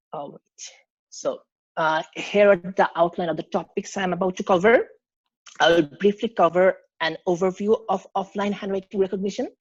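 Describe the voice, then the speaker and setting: A young man with a Bangladeshi accent, a native Bangla speaker, giving an academic presentation in a hesitant, halting way as a PhD candidate. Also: high voice, slightly rough, no room sound. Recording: muffled video-call audio.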